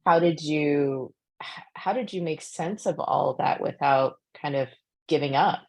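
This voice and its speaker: A woman's voice: deep voice, unhurried, creaky, darkish tone. A woman interviewing an author at a bookstore talk, asking questions and summing up her advice in an encouraging way.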